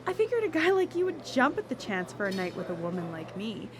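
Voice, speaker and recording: A woman playing a brash, flirtatious, sharp-tongued date in a live radio drama, blunt and dramatic. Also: a little high, big pitch swings, brisk, a crowd in the background. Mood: frustrated.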